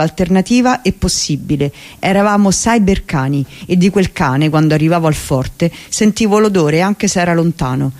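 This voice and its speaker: Reader, first-person memoir, measured, reflective, mid-range voice. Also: mid-range voice, a little mellow.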